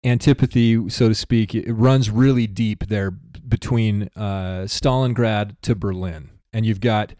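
A man's voice: on the low side, steady tone, unhurried, hardly any pauses, dark tone, no room sound, studio quality. An American man co-hosting a talk show with casual, conversational commentary.

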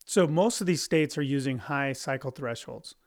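A man's voice: mid-range voice, normal speed. A man co-hosting a podcast in casual discussion, talking emphatically and often repeating and restarting his phrases. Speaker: podcast co-host.

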